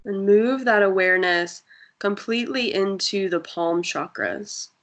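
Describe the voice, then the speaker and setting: A woman guiding a breathing meditation in a soft, soothing voice with long pauses. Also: medium pitch. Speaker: meditation guide.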